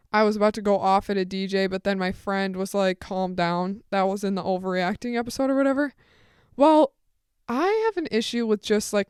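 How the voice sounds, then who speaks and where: A teenage girl chats through a rambling story on her solo podcast, full of side comments. Her voice is smooth and clear with a slightly deep tone, recorded close and dry with no room sound. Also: a little mellow, no room sound. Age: teens. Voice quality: fairly deep voice for a woman. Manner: rambling, chatty, full of asides. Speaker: podcast host.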